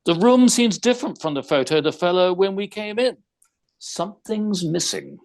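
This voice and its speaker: A formal, animated private detective. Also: male voice, high-pitched, moderate pace, creaky. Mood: curious. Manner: formal, precise, questioning. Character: male private detective interviewing suspects, probing and formal. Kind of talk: audio theater acting.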